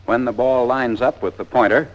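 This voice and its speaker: A man's voice: mid-range voice, fairly even intonation, moderate pace. An American man narrating a 1940s instructional training film in a plain-spoken, methodical, step-by-step way. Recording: dull, lo-fi 1940s film soundtrack.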